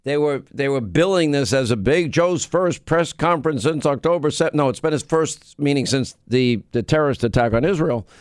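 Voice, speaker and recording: A man's voice: on the high side, moderate pace, few pauses, no echo, clean studio sound. A middle-aged man hosting a radio talk show, chatting in a rambling way, with his voice going in and out. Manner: rambling, storytelling, self-interrupting. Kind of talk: conversational radio monologue.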